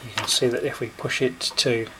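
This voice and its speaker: A man giving a hands-on product review, explaining step by step in short, unhurried phrases, indoors at a desk. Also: medium pitch, steady tone. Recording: noisy home recording with clicks.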